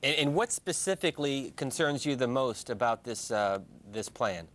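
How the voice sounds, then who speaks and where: A man hosting a live broadcast call-in programme, announcing and putting questions in a measured way. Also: medium pitch, expressive, fairly bright tone. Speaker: call-in show host.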